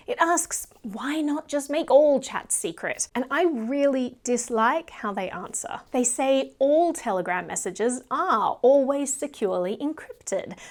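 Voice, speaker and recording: A woman presenting scripted explanatory narration in an emphatic, critical, clearly structured way. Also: sits high.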